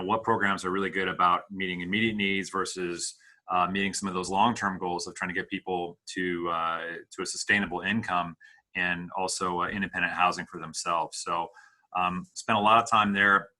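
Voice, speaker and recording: Middle-aged man from the Midwest, deep and bright, halting and measured with frequent "uh"s, answering interview questions. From Midwest United States. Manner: measured, hesitant, with many fillers and restarts. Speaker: executive director of a homeless services charity.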